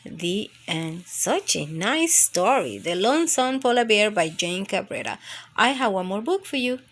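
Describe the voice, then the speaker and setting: A woman's voice: medium pitch, very animated, a little slow, fairly bright tone, slight background noise. A woman reading a picture book aloud as a storytime narrator for young children.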